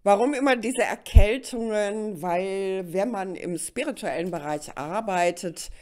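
A woman's voice: mid-range voice, a little slow, darkish tone. A middle-aged woman with a slight cold, chatting directly to the listener as she hosts an informal tarot reading. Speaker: tarot reader and host. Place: indoors at a card table.

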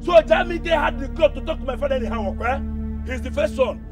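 An actor with a Nigerian accent playing a hot-headed, threatening villager who rants and taunts. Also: male voice, very high voice, fast talker, music in the background. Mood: furious, threatening. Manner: ranting, taunting, repeats threats. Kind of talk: Nollywood film acting.

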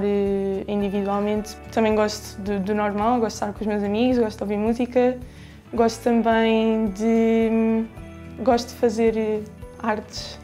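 A woman's voice: medium pitch, darkish tone. An eighteen-year-old woman with a European Portuguese accent, talking in a rambling, self-correcting way into a lapel mic. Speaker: young interviewee. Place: dark indoor studio.

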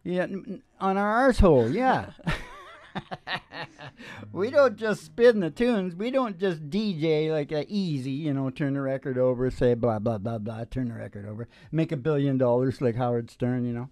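A man's voice: fairly high pitch, normal speed, a little mellow, no room sound. An older man hosting a music show, chatting casually and in a rambling, opinionated way with crude humor, close on a microphone. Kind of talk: rambling casual chat between songs. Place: small dim curtained room. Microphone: close vocal microphone on a stand. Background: acoustic guitar.

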